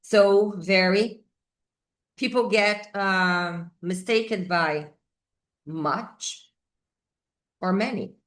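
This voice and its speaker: A middle-aged Brazilian woman, a native Portuguese speaker, teaches English grammar informally with a Brazilian accent, thinking aloud haltingly and repeating key words. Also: mid-range voice. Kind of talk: informal whiteboard grammar lesson.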